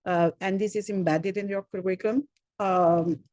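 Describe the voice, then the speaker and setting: A middle-aged woman from Italy with an Italian accent, giving a welcome talk as a program director and filling her pauses with frequent "uh"s as she searches for words. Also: medium pitch, steady tone, a little mellow, no room sound. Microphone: computer mic on a video call.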